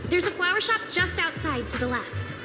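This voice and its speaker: A woman's voice: extremely high pitch, animated, moderate pace. A woman playing a polite, apologetic young cinema attendant in a video game, hesitant and eager to help. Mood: apologetic, helpful. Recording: roomy sound with clear music behind.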